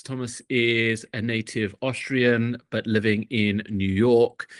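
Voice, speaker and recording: A man's voice: fairly low pitch, no room sound, clean studio sound. A man hosting an informal talk series, introducing and chatting with a guest.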